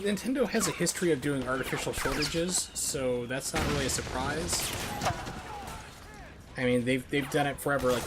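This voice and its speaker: Opinionated male game streamer over noisy background. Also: a little high. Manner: opinionated, emphatic. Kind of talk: casual live-stream commentary while playing.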